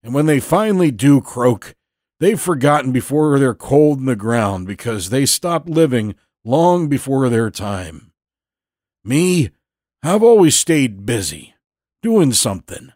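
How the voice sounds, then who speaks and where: A man voicing a genial, boastful Navy veteran in his eighties for an audio storybook, folksy and orating, with drawn-out emphatic phrases. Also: mid-range voice, sing-song, darkish tone, dry recording, studio quality, pitched above the performer's own voice. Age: 80s.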